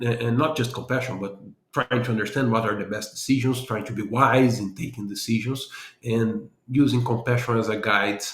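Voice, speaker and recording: A man's voice: on the low side, reverberant. A man from Brazil with a Brazilian accent, a guest on a podcast interview, explaining his ideas earnestly and thinking aloud. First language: Portuguese. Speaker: guest, business analysis consultant.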